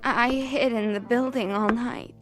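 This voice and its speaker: A woman's voice: on the high side, fairly even intonation, lightly acted. An actress playing an older grandmother who begs desperately for her grandson, in a radio drama. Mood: pleading. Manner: pleading, slow and tearful. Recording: radio drama with music beneath.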